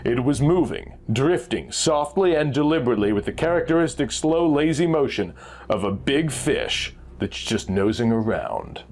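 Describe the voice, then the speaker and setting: A man reads pulp adventure fiction aloud in a measured, suspenseful storytelling manner. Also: medium pitch, animated, a little mellow. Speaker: narrator reading a vintage magazine story. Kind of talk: dramatic scripted narration of pulp adventure fiction. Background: background music.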